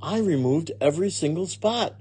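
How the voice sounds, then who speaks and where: Man putting on a folksy, high-pitched housekeeper woman. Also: lively intonation, moderate pace, a little mellow, husky. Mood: cheerful, earnest. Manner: folksy, earnest, homespun phrasing. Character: cheerful, literal-minded woman housekeeper. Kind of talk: children's storybook character reading.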